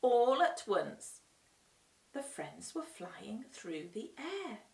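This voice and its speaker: A woman reading a children's storybook aloud with expressive narration. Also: a little high, drawn-out words, vocal fry, recorded from a distance. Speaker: storytime reader. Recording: roomy echo, away from the mic.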